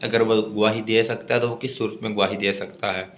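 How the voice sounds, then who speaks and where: A man with a Pakistani accent teaching law, reading legal text aloud deliberately and explaining it as a lecturer. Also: lowish voice, little pitch movement, fairly bright tone.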